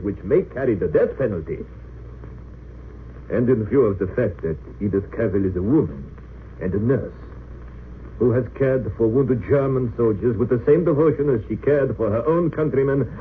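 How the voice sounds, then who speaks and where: Actor as an expressive, pleading defense lawyer, old radio. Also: male voice, medium pitch, close to an everyday voice. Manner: hesitant yet impassioned, formal oratory. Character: earnest, deferential Romanian defense lawyer pleading for clemency. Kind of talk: old-time radio drama acting. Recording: tinny narrow-band old radio recording, hissy.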